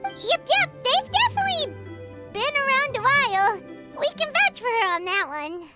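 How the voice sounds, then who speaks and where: Very high, squeaky fairy companion. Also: female voice, very animated, normal speed. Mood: bright, helpful. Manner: chirpy, casual, eager. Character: tiny cheerful childlike fairy companion, female. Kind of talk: video game voice acting. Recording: prominent music under the voice.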